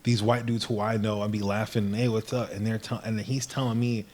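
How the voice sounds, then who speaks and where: A man's voice: fairly low pitch, flat delivery, brisk, darkish tone. A man in casual podcast conversation who talks animatedly and acts out what other people said.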